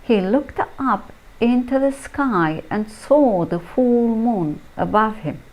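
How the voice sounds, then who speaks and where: A woman narrating scripted folktales as a storyteller, with measured, deliberate phrasing and pauses. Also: on the high side, expressive, lo-fi sound.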